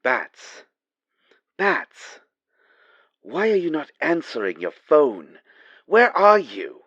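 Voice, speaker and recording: A man's voice: a little high, wildly swooping pitch, slow, a bit bright, husky, no echo, highs cut off, full character voice. A man playing a worried, secretive ally in an audio roleplay, speaking urgently and dramatically as if leaving a phone message. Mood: frantic, exasperated. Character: urgent, worried male ally delivering a grave warning.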